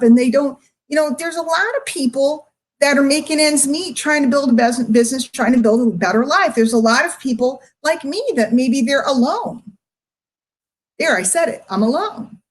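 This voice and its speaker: Emotional middle-aged woman, fairly high voice. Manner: confiding, emphatic, with drawn-out words and pauses. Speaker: online marketer addressing followers. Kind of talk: candid livestream monologue to followers.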